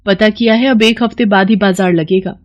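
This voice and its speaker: A woman's voice: mid-range voice, steady tone, a little fast, muffled recording, lightly acted. A woman voicing a devoted young daughter-in-law in a storybook tale, earnest and respectful.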